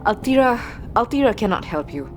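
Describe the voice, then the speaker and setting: A woman playing an eccentric, ageless fortune teller witch who speaks in a cryptic, wry, halting way in a fantasy audio drama. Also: on the high side, sing-song, soft background music. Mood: grave, regretful. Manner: speaks of herself in third person, halting and cryptic.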